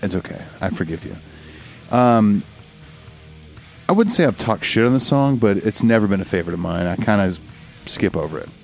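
Male co-host, fairly deep voice, informal and sweary rock chat, light music bed. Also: animated, moderate pace, creaky, pauses often, darkish tone. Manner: informal, profane, conversational.

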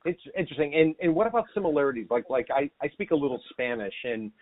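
A man hosting a real estate podcast, talking briskly and upbeat as he interviews a guest and reads promos. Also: fairly high pitch, expressive, phone-quality sound. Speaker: podcast host.

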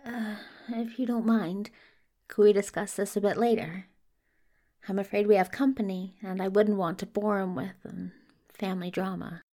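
A woman's voice: mid-range voice, fairly even intonation, moderate pace, mellow, close to an everyday voice. A woman voicing an anxious, courtly male messenger who speaks with fussy, flustered politeness, eager to please, in an audio drama. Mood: awkward. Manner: flustered, placating, genteel phrasing. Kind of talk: multivoice podfic audio drama acting.

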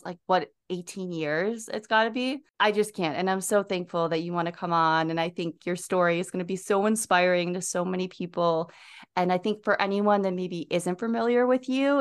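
A woman's voice: mid-range voice, a bit bright, smooth. A woman hosting a podcast, upbeat and chatty, with a slightly scratchy voice. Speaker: podcast host. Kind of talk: casual podcast chat.

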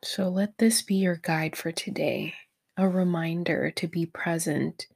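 A woman leading a guided meditation in a soft, breathy, near-whispered voice, gentle and encouraging toward the listener. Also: fairly low pitch, measured pace. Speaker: meditation guide, podcast host.

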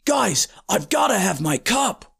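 A man's voice: high voice, sing-song, a little slow, creaky, fairly bright tone, husky, exaggerated voice. A man voicing an eager, impatient young boy in an audiobook, whiny and insistent. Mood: desperate pleading. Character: eager impatient tiny schoolboy, pleading and stubborn. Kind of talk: audiobook character acting.

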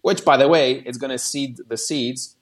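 A man's voice: medium pitch, normal speed. A man giving casual, rambling market commentary on a podcast, often restarting his sentences. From outside the US.

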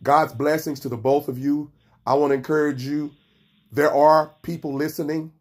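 A pastor hosting a radio podcast, talking with a cold and coughing, often saying amen. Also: male voice, a little high, level tone, moderate pace. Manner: warm encouraging, frequent amens.

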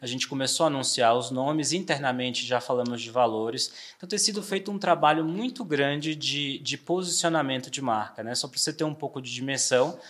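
A man's voice: medium pitch, fairly quick, few pauses. Bahian man chatting easily in a business interview. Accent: Bahian Portuguese softened by São Paulo. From Bahia, Brazil. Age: 40s. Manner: relaxed, frequent fillers and tag questions. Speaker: trade marketing director guest.

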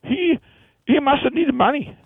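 A man doing a high rasping Indian woman. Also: no echo, heavily acted. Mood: rambling. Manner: halting, broken English with many fillers. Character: woman amputee from India with transplanted hands. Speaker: phone-in comedy caller. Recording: tinny phone line.

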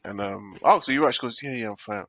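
A man's voice: on the low side, fast, pushed and bright, dry recording. Nasal man telling a story. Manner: rambling storyteller, repeats himself. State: nasal from a cold. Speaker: podcast co-host. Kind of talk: casual chat.

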